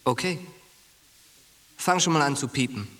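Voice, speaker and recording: Young soldier buddy, brash, slangy, goading, regular male pitch, radio play. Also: a little slow, a bit bright. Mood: exasperated, coaxing. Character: Al, brash young American soldier, loyal, taunting friend. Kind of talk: radio drama acting.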